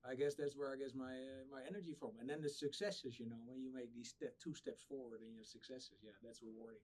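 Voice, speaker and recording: A man's voice: medium pitch, steady tone, quick pace, darkish tone, poor audio. Middle-aged man with a Dutch accent. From Netherlands. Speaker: interview guest, startup founder. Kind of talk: conversational interview answers.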